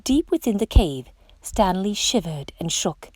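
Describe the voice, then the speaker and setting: A woman reading a picture book aloud to children in an expressive, dramatic storytelling style. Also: medium pitch, a little slow, slightly rough, no room sound.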